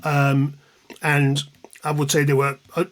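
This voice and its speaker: A man's voice: on the high side, monotone, measured pace, a bit bright. A man in his fifties with an English accent, chatting anecdotally and with self-deprecation as an interview guest. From England, East Midlands. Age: 50s. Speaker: guest, music journalist and author. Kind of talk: casual reminiscing in an interview.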